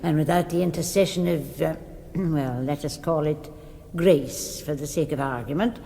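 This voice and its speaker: An actress playing a stern, devoted old governess in a radio drama, firm and didactic. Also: female voice, sits low, normal speed, gravelly, dark tone. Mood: firm, admonishing. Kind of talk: BBC radio drama acting. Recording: long hall-like reverb.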